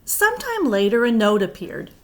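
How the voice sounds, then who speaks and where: A woman teacher reading a picture book aloud to young children, animated and expressive, with chatty asides. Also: fairly high pitch, normal speed.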